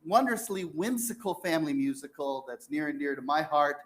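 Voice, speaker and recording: A man's voice: fairly high pitch, expressive, brisk. A man presenting a theater season announcement to an audience in an enthusiastic style.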